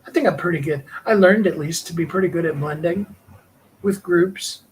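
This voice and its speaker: Man, middle-aged, light tenor voice, a touch high for a man, rambling heartfelt livestream chat, faint room ambience. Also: normal speed, slight background noise, rough recording. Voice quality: higher-pitched tenor voice. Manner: rambling and heartfelt, with frequent "you know" and small laughs. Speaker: livestream host and singer. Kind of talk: reflective personal livestream talk.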